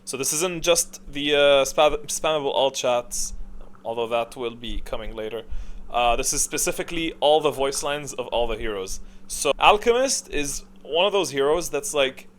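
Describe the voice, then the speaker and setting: A man's voice: medium pitch, lively intonation, normal speed, a bit bright. Casual, rambling man giving gaming opinions. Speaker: host of a gaming tier list video.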